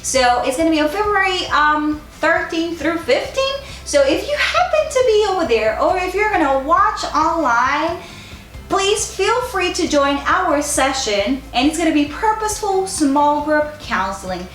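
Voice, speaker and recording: A woman with a Puerto Rican accent speaks in a high voice that swings widely in pitch. She addresses viewers directly in an encouraging, informal vlog style, as a counselor sharing advice. The room adds a noticeable echo. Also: sing-song, measured pace, a bit bright, faint music behind. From Puerto Rico. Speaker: school counselor giving advice. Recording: echoey, roomy sound.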